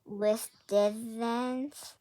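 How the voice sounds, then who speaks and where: A woman reading a children's picture book aloud in a halting, word-by-word manner, correcting herself as she goes. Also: on the high side, steady tone, warm tone, slight background noise. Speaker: storybook reader.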